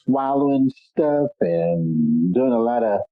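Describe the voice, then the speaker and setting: A man's voice: mid-range voice, expressive, takes it slowly, no echo, faint music behind. A man hosting a casual call-in show, stuffed up and a little nasal, talking in an easygoing, rambling way with lots of "um"s. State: congested, stuffed up. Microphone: phone or conference call line. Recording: tinny phone line, dry.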